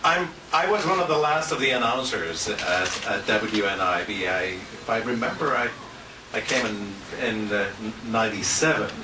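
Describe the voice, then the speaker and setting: Halting older man, distant in a noisy room. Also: fairly low pitch, animated. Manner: halting, rambling, anecdotal. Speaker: retired radio announcer giving a tribute speech. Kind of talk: informal after-dinner tribute speech. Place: restaurant banquet room. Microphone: handheld compact camera at a distance. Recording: roomy, noisy handheld camera from far off.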